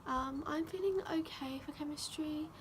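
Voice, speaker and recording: A teenage girl chatting casually to camera for her vlog, voice croaky from a sore throat, full of "like" and "um". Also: sits high, normal speed, noisy background. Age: teens. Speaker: vlogger.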